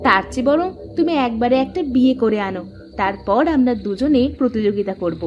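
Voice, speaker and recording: A tart, teasing young daughter-in-law voiced by a woman in a cartoon. Her voice sits fairly high, in mildly muffled audio with music underneath. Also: expressive, fairly quick, natural voice. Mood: polite, tart. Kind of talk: Bengali cartoon dub acting.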